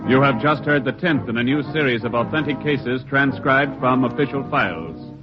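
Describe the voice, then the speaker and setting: A male announcer reading closing credits in a slow, formal way. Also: medium pitch. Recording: dull lo-fi vintage radio with music.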